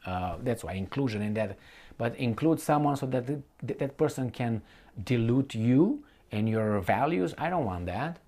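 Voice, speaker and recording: A man giving an unscripted, opinionated political commentary, speaking bluntly and colloquially, with halting repetition and rhetorical questions to the listener. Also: mid-range voice, lively intonation, darkish tone. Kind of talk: unscripted opinionated monologue to viewers.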